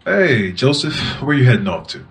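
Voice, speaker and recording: Male actor for affable wealthy householder, audio drama, casual delivery, medium pitch with lively swings. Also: moderate pace, gravelly, slight background noise. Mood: curious, friendly. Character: easygoing, wealthy master of the house and husband.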